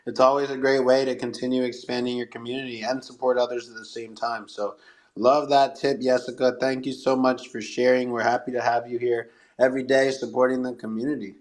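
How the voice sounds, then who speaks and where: A man's voice: mid-range voice, flat delivery, brisk. A man hosting a live audio show in an upbeat, encouraging and slightly salesy way.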